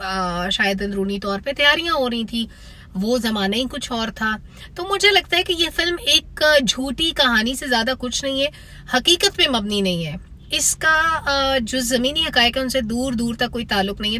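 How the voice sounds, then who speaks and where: Bright, higher-pitched Pakistani woman reviewing. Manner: critical, opinionated. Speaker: film reviewer. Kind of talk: spoken opinion review to viewers.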